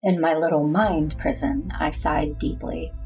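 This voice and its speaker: A woman's voice: sits low, steady tone, a little slow, warm tone, faint music behind, poor audio. An actress playing a weary, bitter, brooding war leader who narrates her own story in a sci-fi audio drama. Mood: grave, bitter.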